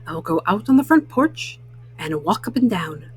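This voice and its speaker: A woman's voice: lowish voice, very animated, moderate pace, a little mellow, a little hiss or hum, pitched below the performer's own voice. A woman voicing a well-meaning, fretful male toad from a storybook, earnest and a little fussy. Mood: thoughtful.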